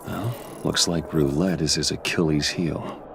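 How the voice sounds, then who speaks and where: A brooding billionaire vigilante for a video game. His voice is extremely low and gravelly, with a guarded, resolute delivery. Clear background noise sits behind the grave, measured words. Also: fairly even intonation, full character voice. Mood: guarded, focused.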